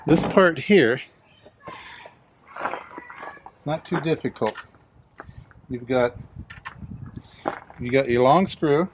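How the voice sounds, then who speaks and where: A man's voice: medium pitch, extremely slow, long pauses, background noise. A man casually narrating a hands-on DIY installation, explaining it plainly step by step.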